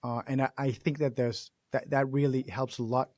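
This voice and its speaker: A man from Denmark with a Danish accent, hosting an interview in an animated, chatty way with frequent laughs. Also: medium pitch, normal speed, dry recording, clean studio sound. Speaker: podcast host. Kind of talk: casual interview conversation.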